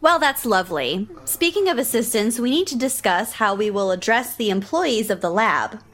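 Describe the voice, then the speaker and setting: A woman's voice: fairly high pitch, expressive, normal speed, a bit bright. A woman acting in an audio drama as a smug, catty council politician with pointed, insincere delivery. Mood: falsely sincere. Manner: sugary insincerity with pointed digs. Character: smug, fake-sincere, catty female city council politician.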